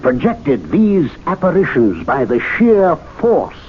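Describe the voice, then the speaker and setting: A lecturing club member. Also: male voice, fairly high pitch, huge pitch swings, slow, slightly rough, full character voice. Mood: earnest. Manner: earnest, lecturing, emphatic. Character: learned man lecturing fellow club members. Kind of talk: 1970s radio drama acting. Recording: tinny, narrow-band old radio broadcast, faint hiss.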